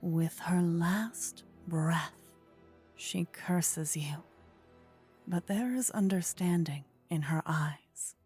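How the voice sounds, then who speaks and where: A woman narrating a scripted second-person fantasy story in a soft, whispery ASMR style with measured delivery. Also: fairly low pitch, faint music behind. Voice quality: breathy, whispery. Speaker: narrator and voice actor.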